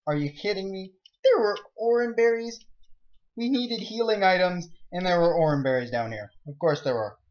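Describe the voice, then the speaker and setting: A young man talks through his game in a loose, rambling way, cracking jokes and breaking into excited outbursts. His voice sits a little high for a man. He is recorded on a dull, lo-fi home mic. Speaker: let's play gamer. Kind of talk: casual game commentary.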